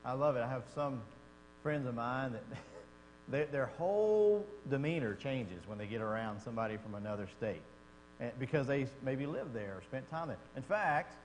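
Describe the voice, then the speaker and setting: A man's voice: medium pitch, animated, normal speed. Texan man, Bible class teacher, folksy and chatty; echoey hall, faint mains hum. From Texas. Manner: folksy and conversational, asks the class questions. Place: church classroom.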